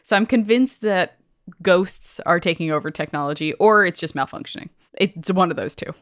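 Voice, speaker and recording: Woman podcaster, casual and colloquial, smooth clean voice, really dry close recording. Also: lowish voice, moderate pace, no room sound. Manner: colloquial, peppers speech with "like". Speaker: podcast co-host. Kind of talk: casual podcast chat and reading listener stories aloud.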